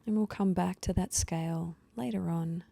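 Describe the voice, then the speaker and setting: A woman leading a guided meditation with slow, soothing instructions and pauses, her voice soft and at times breathy. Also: lowish voice, dry recording.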